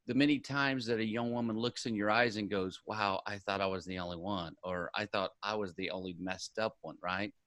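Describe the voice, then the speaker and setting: Earnest low-voiced male host, dry sound. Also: fairly even intonation, fairly quick, few pauses, fairly bright tone, dry recording. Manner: earnest, emphatic. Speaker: show host. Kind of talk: conversational interview.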